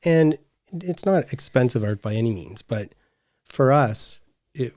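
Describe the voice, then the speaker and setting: A man's voice: medium pitch, lively intonation, unhurried, a little mellow, no echo, studio quality. A middle-aged man chatting casually as a podcast co-host. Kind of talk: casual conversational chat.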